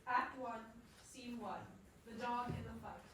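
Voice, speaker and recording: A woman's voice: on the high side, measured pace, fairly bright tone. A woman reading stage directions as scripted narration at a staged play reading. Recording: very echoey room, far mic, clear noise.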